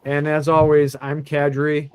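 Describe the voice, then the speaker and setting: A man leads a casual chat about collectible figures. He talks in a relaxed, meandering way, teasing his co-hosts along the way. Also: fairly high pitch, measured pace, a crowd in the background. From Chicago suburbs. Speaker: podcast co-host.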